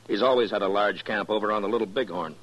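Deep husky frontier marshal. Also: male voice, fast, fairly bright tone, rough recording. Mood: thoughtful, probing. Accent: American. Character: stern, laconic US marshal of the Old West. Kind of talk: 1950s radio western acting.

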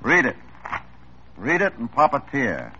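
An actor playing a smug, mocking lawyer with a sarcastic drawl in an old radio crime drama. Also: male voice, mid-range voice, wildly swooping pitch, measured pace, bright, forceful tone, slightly rough, full character voice. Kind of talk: 1950s radio drama acting. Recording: dull 1950s radio drama recording.

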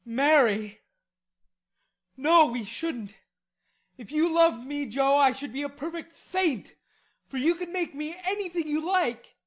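A woman's voice: high-pitched, takes it slowly, pauses often, pitched above the performer's own voice. A woman voicing a lovelorn, hot-headed young man in an audiobook, impassioned, insistent and pleading. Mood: rapturous pleading. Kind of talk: audiobook character voice.

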